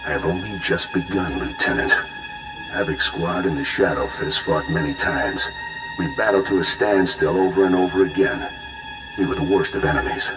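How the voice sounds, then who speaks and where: A man's voice: low-pitched, normal speed, close to an everyday voice. A man playing a smug, menacing renegade commander in a video game, mocking and self-righteous. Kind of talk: video game cutscene acting. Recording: roomy echo. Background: music.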